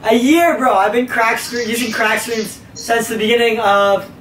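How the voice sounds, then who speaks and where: A young man hosting a podcast, chatting casually in a rambling, profane, energetic way. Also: extremely high pitch, normal speed, heavy background noise. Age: young adult. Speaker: podcast host. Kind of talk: casual chat. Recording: echoey room.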